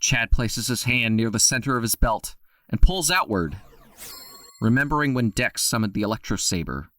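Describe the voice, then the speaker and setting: A man narrating an audio drama with a steady, scripted storytelling delivery. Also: medium pitch, moderate pace.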